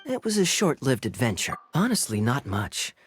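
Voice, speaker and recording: An actor playing a sly, suave young knight captain in a video game, talking in a wry, teasing, drawling way. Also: male voice, medium pitch, wildly swooping pitch, normal speed, soft background music. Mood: reflective. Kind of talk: video game character acting.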